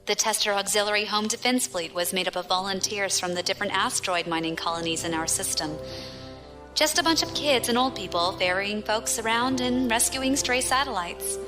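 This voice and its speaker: A woman's voice: mid-range voice, a little fast, music in the background, natural voice. An actress playing a battle-hardened, proud young female pirate captain with a wry storytelling manner in a science fiction audio drama. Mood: wry, nostalgic.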